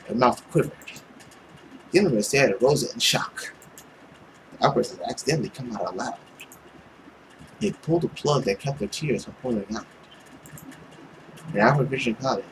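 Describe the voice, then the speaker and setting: A man's voice: medium pitch, noisy background. A man reading a visual novel aloud as dramatic story narration. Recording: loud music behind.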